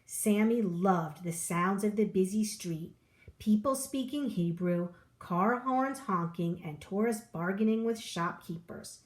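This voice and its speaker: Slow-paced woman reading a children's story. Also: medium pitch. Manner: expressive storyteller delivery with voiced dialogue. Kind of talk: children's picture book read-aloud.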